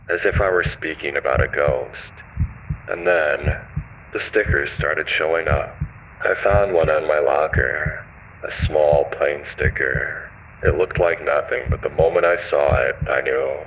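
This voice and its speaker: A man with an extremely low, bottomed-out voice narrates a scripted horror tale. His delivery is measured and ominous, told in the first person. The audio is muffled and lo-fi, with faint radio-like noise behind him. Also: a bit bright.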